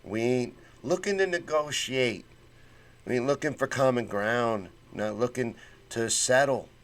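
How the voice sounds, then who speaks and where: A man's voice: medium pitch, measured pace. A man hosting a casual political livestream, reading chat comments and talking bluntly with fired-up conviction and folksy slang.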